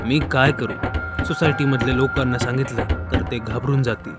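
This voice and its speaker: A man voicing a worried, earnest housing society secretary in an animated horror story. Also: medium pitch, fairly quick, natural voice. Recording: music behind the voice.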